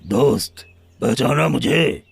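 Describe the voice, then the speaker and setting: A man voicing a proud, wounded lion in a cartoon story, speaking solemnly and deliberately. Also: medium pitch, lively intonation, gravelly, husky, no echo, full character voice. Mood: solemn, reproachful. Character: proud, wounded male lion, loyal friend turned stern. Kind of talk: scripted Hindi cartoon story dialogue. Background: background music.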